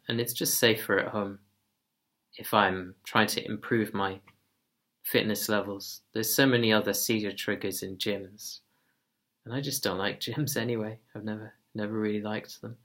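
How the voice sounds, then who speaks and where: A man giving a casual personal update in a slow, monotone, calm voice. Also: fairly low pitch. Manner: soft-spoken, relaxed, occasional quiet laughs. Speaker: vlogger.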